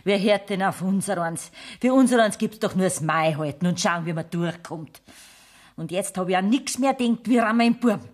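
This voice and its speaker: A woman's voice: medium pitch, animated, moderate pace, natural voice. An actress playing a bitter, careworn rural mother with a Bavarian accent in a radio play, plain-spoken and aggrieved. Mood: resigned. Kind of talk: German radio play acting in dialect.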